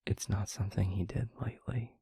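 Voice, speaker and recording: A man playing a brooding, gentle vampire boyfriend in an intimate audio roleplay, speaking in a breathy, gravelly half-whisper with halting, earnest delivery. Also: lowish voice, fairly even intonation, no room sound, exaggerated voice. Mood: somber, reflective. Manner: halting, hushed, earnest, with long pauses. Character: brooding, gentle male vampire boyfriend confiding his past. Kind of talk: intimate audio roleplay, soft confessional monologue.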